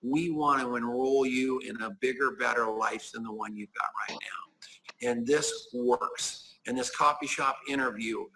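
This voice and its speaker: A man's voice: medium pitch, steady tone, measured pace, creaky, noisy background. A man in his sixties talking as an interview guest, with an emphatic, preachy manner that repeats key phrases. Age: 60s. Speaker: guest, business owner. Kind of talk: enthusiastic conversational pitch.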